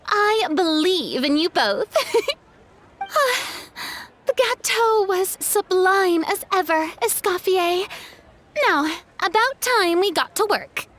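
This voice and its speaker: A woman voicing a flamboyant, excitable young film director in a video game, with a dramatic, effusive and grandiose manner. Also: very high voice, takes it slowly, full character voice. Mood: pleased, eager.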